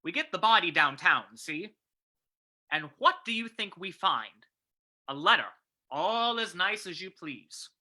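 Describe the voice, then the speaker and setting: A woman performs a hard-boiled male commissioner from an old radio mystery. The voice sits low for a woman and cuts very sharply. The delivery is clipped, gruff and sarcastic. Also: big pitch swings, a little slow, pushed and bright, exaggerated voice. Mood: gruff, accusing. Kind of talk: old-time radio play reading.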